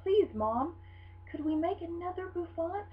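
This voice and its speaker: A woman's voice: very high-pitched, animated, measured pace, pitched above the performer's own voice. Woman voicing a dramatic, whiny little schoolgirl, swooping wildly. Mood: pleading. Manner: theatrical, whiny, emphatic. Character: dramatic, fashion-obsessed, pleading little schoolgirl. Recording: dull lo-fi sound with hum.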